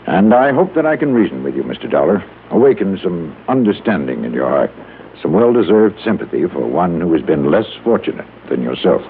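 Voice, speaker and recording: An actor playing a prim, pedantic psychologist who pleads in pompous, wordy, precise phrases, in old-time radio style. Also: male voice, low voice, big pitch swings, brisk. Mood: pleading. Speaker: radio drama character. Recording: narrow-band vintage radio, faint noise.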